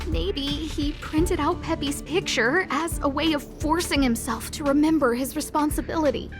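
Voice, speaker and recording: A woman's voice: high-pitched, normal speed, lightly acted. An actress voicing an earnest, caring young woman boss in an anime-style game, musing aloud with guilt and worry. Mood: pensive. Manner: musing aloud, self-questioning. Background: game music.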